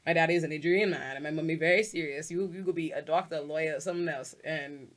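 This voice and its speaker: A young woman, a guest in a casual studio interview, lively and laughing often as she tells stories. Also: fast talker. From Bahamas. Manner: animated, laughs often, jumps to high pitch when telling stories. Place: small podcast studio with foam panels. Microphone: studio mic on boom arm.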